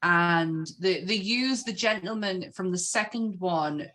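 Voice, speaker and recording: A woman's voice: lowish voice, takes it slowly, sharp tone. A woman talking casually in a group podcast conversation, telling anecdotes as a guest.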